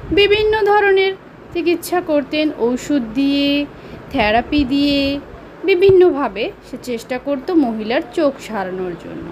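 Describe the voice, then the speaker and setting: A woman's voice: sits high, a little hiss or hum, rough recording. A woman narrating a folk tale as a storyteller, elaborating and repeating her phrases as in oral telling.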